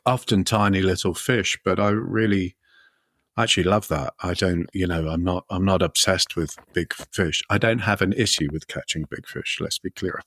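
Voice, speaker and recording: Middle-aged guy with a london accent, rambly friendly chat, bit low, very dry sound. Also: fairly bright tone, no room sound. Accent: London English. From London, England. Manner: rambling, chatty, quick restarts and chuckles. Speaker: guest, fly fishing author. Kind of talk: casual podcast interview.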